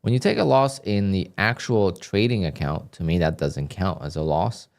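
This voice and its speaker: Low-voiced man streaming his trading. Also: moderate pace, gravelly. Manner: flat, dry, sounds annoyed even when content. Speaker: day trader livestreamer. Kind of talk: live stream commentary, reading donations.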